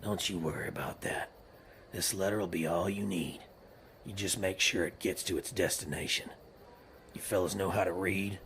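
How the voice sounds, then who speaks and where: A deep, gravelly outlaw boss gives orders in a rural Western drawl. He is scheming and menacing, commanding yet slyly coaxing, a villain from a western radio play with noise behind him. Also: steady tone, normal speed, a bit bright. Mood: brisk, commanding. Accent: rural American Western drawl. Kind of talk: western comedy audio drama acting.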